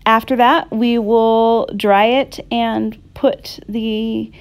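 A woman giving a casual painting tutorial in an unhurried, halting, reassuring way. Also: fairly high pitch, fairly even intonation, clean tone. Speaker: art instructor. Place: indoors at a craft table.

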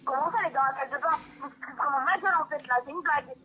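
A young woman with a high, bright voice talks quickly over a phone on speaker. Her way of talking is blunt, informal and full of slang. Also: muffled recording, faint music behind. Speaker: girlfriend on a phone call. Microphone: phone call played on speaker.

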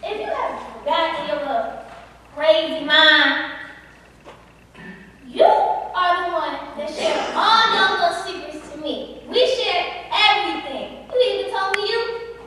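A woman playing a shrill, indignant young girlfriend. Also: expressive, measured pace, pushed and bright, exaggerated voice. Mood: hurt, indignant. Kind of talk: stage play acting. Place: on stage in an auditorium. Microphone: far camera. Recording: distant echoey theater hall, faint noise.

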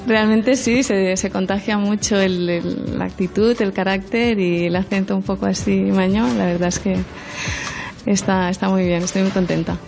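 A woman's voice: medium pitch, fairly even intonation, normal speed, vocal fry, a little mellow, soft background music. A woman from Barcelona, a course student, chatting casually and enthusiastically in an interview. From Barcelona, Spain.

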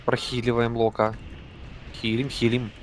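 A man leading a game raid over voice chat, giving brisk commands and swearing casually. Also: mid-range voice, level tone, slight background noise. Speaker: raid leader.

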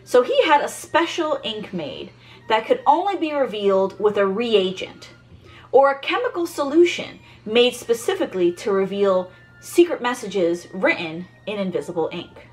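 A woman's voice: medium pitch, sing-song, moderate pace, soft background music. An American woman in her thirties giving a scripted educational presentation as host, recorded indoors at home. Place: small furnished room at home, indoors.

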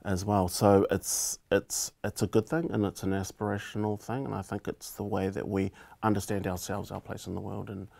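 A man in his forties with a New Zealand accent, a political candidate talking thoughtfully in a relaxed studio interview and often restarting his phrases. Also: lowish voice, moderate pace, vocal fry, few pauses. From Cook Islands heritage, raised in New Zealand. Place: small indoor studio set.

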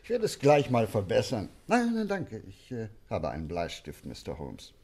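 Husky, animated older country gentleman. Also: on the low side, normal speed, full character voice. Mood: genial. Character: genial older country gentleman, confident and condescending. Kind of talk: German radio play acting.

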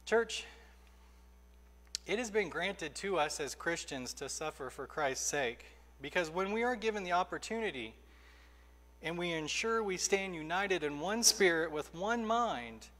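Youngish pastor guy preaching, high voice, big church echo, leans hard on the key words. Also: fairly bright tone. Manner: earnest, emphatic preaching with slowed stressed phrases. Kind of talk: sermon. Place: church sanctuary, indoors. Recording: reverberant church hall.

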